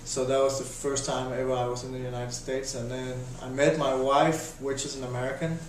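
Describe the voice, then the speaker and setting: A man in his thirties with a Danish accent, answering interview questions in a halting, casual way with many restarts. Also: mid-range voice, level tone, slight background noise. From Denmark. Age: 30s. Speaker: interviewee, volunteer volleyball coach. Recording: echoey room, voice from a distance.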